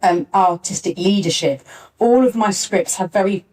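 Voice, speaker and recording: A woman's voice: mid-range voice, moderate pace, a little mellow. A woman talking informally as a panellist at a live event, on a distant backup recording. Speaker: panellist, pantomime scriptwriter. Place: arts centre venue, picked up from afar.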